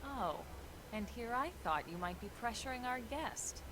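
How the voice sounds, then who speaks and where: A woman's voice: fairly high pitch, expressive, moderate pace, background noise, lightly acted. An actress playing a composed, sensible female officer in a video game, patiently guiding a newcomer. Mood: gently scolding. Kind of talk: video game cutscene voice acting.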